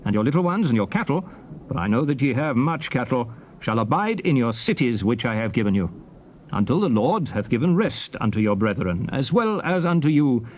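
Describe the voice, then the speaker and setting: An actor playing a solemn old prophet recounting conquests to his people, in declamatory, measured theatrical scripture style. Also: medium pitch, darkish tone, lo-fi sound, lightly acted. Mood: commanding. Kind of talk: dramatized scripture reading, theatrical oratory. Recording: faint noise from old cassette tape.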